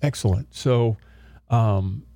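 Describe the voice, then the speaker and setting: Man from oklahoma, chatty host, upbeat, mellow tone, dry close mic. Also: on the low side, slow, gravelly, no echo. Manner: chatty, upbeat, rambling with restarts. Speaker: radio host. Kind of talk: casual interview.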